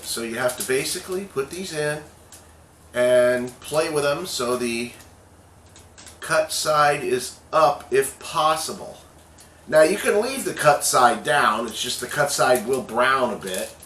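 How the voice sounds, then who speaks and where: A man in his fifties hosting a casual home cooking video from his kitchen, talking in a slow, homely, chatty way and sounding a little congested. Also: medium pitch, a bit bright, reverberant, a little hiss or hum. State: slightly congested.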